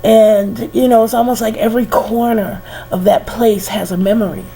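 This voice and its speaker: A middle-aged woman with a head cold, musing slowly and casually, sighing and laughing softly between many "you know"s. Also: on the high side, fairly even intonation, vocal fry, slight background noise, poor audio. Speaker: vlogger. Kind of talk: casual reflective monologue.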